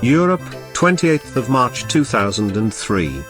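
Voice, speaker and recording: A man's voice: mid-range voice, sing-song, measured pace. Chatty young man reviewing old games. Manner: chatty and enthusiastic, with joking asides. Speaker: video game reviewer. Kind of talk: casual game review voice-over. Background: game music playing.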